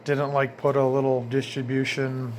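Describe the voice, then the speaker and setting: Male DIY hobbyist, mid-pitched everyday voice, plainspoken hands-on walkthrough, some ambient noise behind him. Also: flat delivery, moderate pace, rough recording. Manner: plainspoken, informal, pointing things out as he goes. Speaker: DIY hobbyist showing his own install. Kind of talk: casual walkthrough narration. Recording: noticeable ambient background noise.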